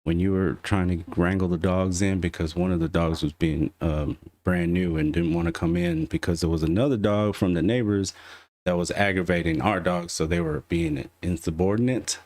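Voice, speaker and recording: A man's voice: low voice, moderate pace, a little mellow. A man co-hosting a podcast, telling a story in a laid-back, joking way and swearing casually. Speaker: podcast co-host.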